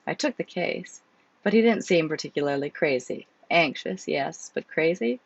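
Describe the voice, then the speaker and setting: A woman with a cold reading her novel aloud, voicing a guarded man with a troubled past who talks things through in a measured way, her voice hoarse and gravelly. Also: sits low, lively intonation, dry recording, highs cut off, full character voice. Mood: thoughtful.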